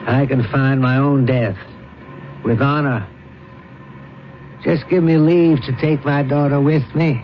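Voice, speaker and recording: A man's voice: medium pitch, steady tone, slow, pauses often, close to an everyday voice. An actor playing a proud, honorable older space commodore and grieving father in an old radio drama. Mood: grief-stricken. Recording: muffled, very noisy old radio recording.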